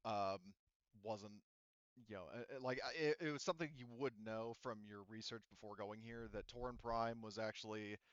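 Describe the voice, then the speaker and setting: A man running a tabletop roleplaying game, improvising narration in a halting, conversational, self-correcting way. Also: on the low side, fairly bright tone, clean tone, no room sound, muffled recording.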